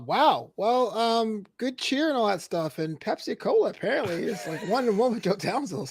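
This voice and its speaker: A man's voice: high voice, vocal fry, fairly bright tone, dry recording. A man on a chat show panel, talking casually in a joking, slangy way.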